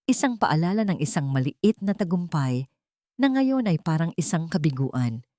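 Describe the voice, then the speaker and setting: A woman narrating a sentimental story in a scripted, dramatic style with emotive, deliberate phrasing. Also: low-pitched, very animated, mellow, dry recording, studio quality.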